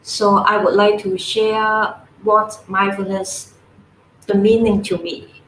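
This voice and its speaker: A woman from Hong Kong with a Cantonese accent, giving a guest talk in an online panel and explaining things slowly and gently. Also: medium pitch, level tone, reverberant, a little hiss or hum, poor audio. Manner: slow, gentle explaining with soft laughs. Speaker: guest speaker.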